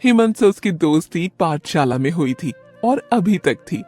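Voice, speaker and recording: A woman's voice: lowish voice, animated, a little slow, darkish tone, slightly rough, soft background music. Woman narrating a storybook tale.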